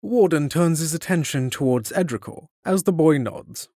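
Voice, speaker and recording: A man's voice: fairly high pitch, expressive, moderate pace, dark tone, no room sound, clean studio sound. A man reading scripted narration for a fantasy audio drama.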